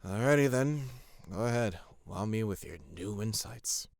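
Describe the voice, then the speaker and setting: A man's voice: lowish voice, animated, measured pace, a little mellow, dry recording, clean studio sound. An actor playing a passionate, blunt young male rebel leader in an audio drama. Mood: bitter. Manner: impassioned, blunt, swearing.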